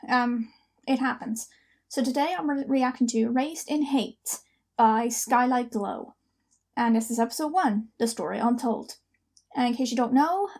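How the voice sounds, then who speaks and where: A woman's voice: high-pitched, darkish tone. A woman hosting a reaction video in a casual, rambling, self-deprecating way. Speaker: host of reaction video, amateur voice actor. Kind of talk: casual chatty reaction commentary.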